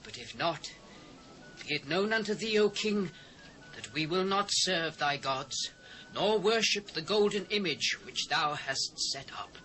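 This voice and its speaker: A man's voice: fairly high pitch, animated, a little slow, sharp tone, soft background music, low-quality recording. A British actor playing a devout, defiant male captive before a king, earnest and formal, in a dramatised scripture reading. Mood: resolute, defiant. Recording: faint hiss, old cassette transfer.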